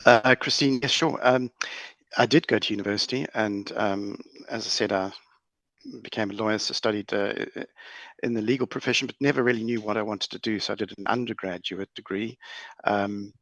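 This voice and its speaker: A middle-aged man with a South African accent answering as a panel guest in a measured, hesitant, formal way. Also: fairly low pitch, fairly even intonation, a bit bright, no echo, poor audio. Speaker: corporate lawyer, panel guest. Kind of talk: considered panel discussion answers.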